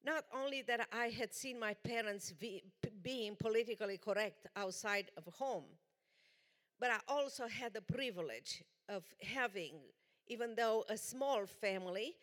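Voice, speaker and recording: A woman from Romania with a Romanian accent, giving an earnest, deliberate personal testimony as a guest speaker in a church. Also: on the high side, fairly bright tone. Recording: long church hall reverb.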